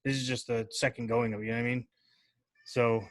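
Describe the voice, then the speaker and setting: A man's voice: mid-range voice, level tone, fast, dry recording. A man hosting a casual podcast interview, talking loosely with plenty of filler words. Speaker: podcast host.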